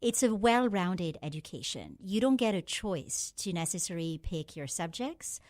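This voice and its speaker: A woman from Pakistan, a guest on a radio show, recounting her life in a measured storytelling way with frequent fillers. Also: lowish voice, very animated. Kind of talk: interview answers.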